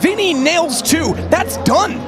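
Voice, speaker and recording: Male esports caster with a high, intense voice, dramatic live calls over clear background music. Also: lively intonation, slow. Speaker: esports commentator. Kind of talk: live play-by-play commentary on a Counter-Strike match.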